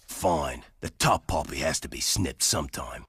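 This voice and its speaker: A boastful desert gunner in a video game. His voice is extremely low and creaky, and he taunts in folksy slang. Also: lively intonation, moderate pace, a bit bright, dry recording, studio quality, full character voice. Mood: grudging. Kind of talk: video game character acting.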